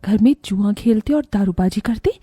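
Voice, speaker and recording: A woman's voice: medium pitch, normal speed, mellow, breathy edge, no room sound, clean studio sound, close to an everyday voice. A woman voicing a poor, hardworking mother in a cartoon story, loving and earnest, with an emotional delivery. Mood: indignant.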